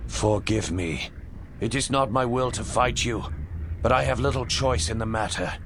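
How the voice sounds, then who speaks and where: An actor playing a noble, doomed prince in a fantasy game, weary, solemn and pleading. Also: male voice, mid-range voice, moderate pace, lightly acted. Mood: sorrowful, pleading. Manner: solemn, formal, imploring. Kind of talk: dramatic fantasy game acting. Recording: clear ambient noise behind.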